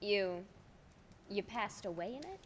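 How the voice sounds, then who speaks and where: A woman's voice: medium pitch, animated, unhurried, slight background noise, natural voice. A young woman playing a blunt, folksy, suspicious toll booth keeper in a staged radio play. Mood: startled, wary. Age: teens to young adult. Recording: echoey hall.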